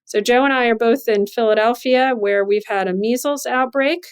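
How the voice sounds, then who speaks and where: Smooth, clear-voiced woman interviewer. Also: a little high, moderate pace. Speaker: interviewer and host, physician and journal editor. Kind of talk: structured professional interview.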